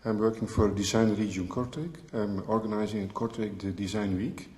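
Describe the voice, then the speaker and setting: A man's voice: lowish voice, fairly even intonation, moderate pace, darkish tone. A man from Belgium introducing himself at a meeting, plain with slight hesitations. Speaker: design teacher and event organizer. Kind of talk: round-table self-introduction. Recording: echoey hall.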